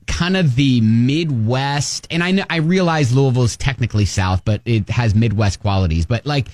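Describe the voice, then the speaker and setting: A man from Ohio with a slightly nasal voice sits at the mic as a radio co-host and chats casually. He talks in an animated way, his pitch sitting a bit high and swinging widely. The studio sound is close and dry. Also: no echo. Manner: animated storytelling, quick restarts. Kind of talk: casual on-air chat.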